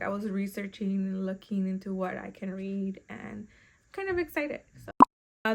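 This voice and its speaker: Casting: young female book vlogger, native Spanish speaker, rambling and chatty, a bit of vocal fry. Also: medium pitch. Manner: chatty, rambling, self-correcting. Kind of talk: casual chat to camera.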